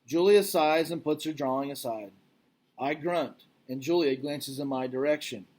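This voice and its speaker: Male storybook reader, slightly high register, reading aloud for children. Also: moderate pace, a little mellow. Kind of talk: storybook narration.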